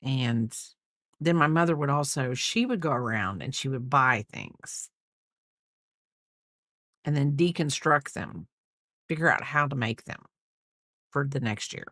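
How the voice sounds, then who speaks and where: A woman's voice: a bass voice, measured pace, pauses often, a bit bright, no echo, clean studio sound. A middle-aged woman chatting casually as a crochet host, in a rambling way, trailing off and repeating herself. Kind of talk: casual chat while crocheting, counting stitches aloud.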